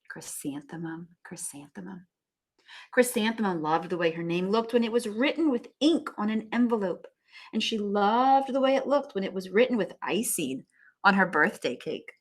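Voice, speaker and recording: A woman teacher reading a storybook aloud to young children, with expressive emphasis and chatty asides to them. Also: mid-range voice.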